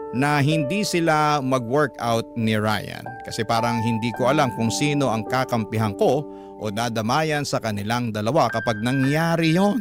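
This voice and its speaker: A man with a Filipino accent narrating a first-person story as a radio storyteller. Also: medium pitch. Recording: prominent music bed behind narration. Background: loud music.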